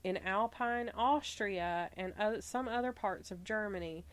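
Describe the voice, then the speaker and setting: Slow-talking Southern woman podcaster. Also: mid-range voice. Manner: relaxed, chatty, stumbles and laughs at herself. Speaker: podcast host. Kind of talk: reading researched notes with casual asides.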